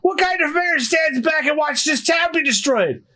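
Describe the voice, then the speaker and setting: A man voicing a stubborn, fiery old town mayor with impassioned shouting, in a comic read-aloud. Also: very high voice, animated, normal speed, voice pushed up, full character voice. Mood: fired up.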